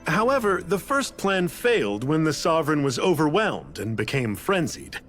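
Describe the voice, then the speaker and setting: A man's voice: on the high side, very animated, moderate pace, fairly bright tone, faint music behind. A man voicing a blithe, eccentric scientist in a video game, lecturing with theatrical, casual detachment. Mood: smug explaining. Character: eccentric, blithe male scientist, coolly explaining a grand scheme. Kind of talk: scripted video game voice acting.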